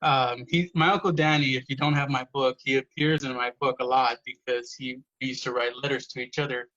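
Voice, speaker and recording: A man's voice: medium pitch, level tone, a little fast, fairly bright tone, lo-fi sound. A man, a poet guest on a podcast, telling family stories earnestly, swearing freely and saying "you know" a lot. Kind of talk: casual podcast conversation.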